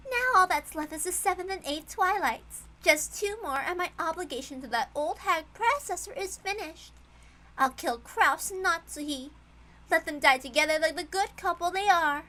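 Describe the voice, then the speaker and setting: A cruel young witch played by a woman reader, full of scorn. She sounds high and bright, a storybook fantasy villain. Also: lively intonation, normal speed, heavily acted, low-quality recording. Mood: cruel impatience.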